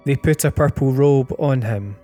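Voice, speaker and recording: A man's voice: medium pitch, moderate pace, warm tone, soft background music. A man reading Bible verses aloud as a scripted scripture reading. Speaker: scripture reader.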